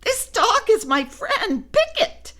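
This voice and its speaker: A woman voicing a friendly plump male farm sheep, high and giggly. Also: very animated, slow pace, fairly bright tone, full character voice. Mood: cheerful.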